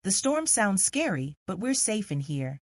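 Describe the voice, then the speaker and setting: A woman voicing a calm, serious and reassuring mother who explains things instructively in a children's storybook. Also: medium pitch, measured pace, dry recording, clean studio sound.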